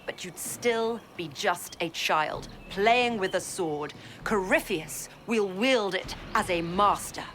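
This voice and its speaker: An actress voicing a cold, proud zealot commander in a video game, deliberate and disdainful. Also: female voice, mid-range voice, expressive, fairly bright tone, slight background noise. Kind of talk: dramatic video game acting.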